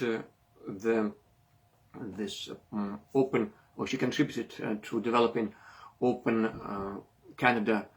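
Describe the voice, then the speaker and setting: Man from Ukraine presenting a data seminar. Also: fairly low pitch. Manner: hesitant, many fillers and restarts. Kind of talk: informal online seminar talk.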